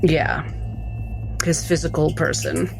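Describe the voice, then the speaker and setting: A woman playing a tabletop roleplaying game, narrating her character in deliberate, measured phrases. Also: low-pitched, fairly even intonation, creaky, darkish tone, low-quality recording. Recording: music behind the voice.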